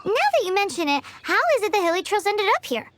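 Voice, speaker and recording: Squeaky, extremely high little fairy companion. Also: female voice, fast talker, slight background noise, heavily acted. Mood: curious. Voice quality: squeaky, childlike. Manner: blunt, excitable, nosy. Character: tiny, cheeky, childlike female fairy companion. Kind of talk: video game voice acting. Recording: music behind the voice.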